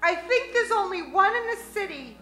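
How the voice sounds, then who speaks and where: A woman's voice: very high-pitched, expressive, normal speed, sharp tone, reverberant, soft background music, heavily acted. A woman playing a naive, ditzy young blonde with a girlish voice in a comic detective radio play. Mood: earnest.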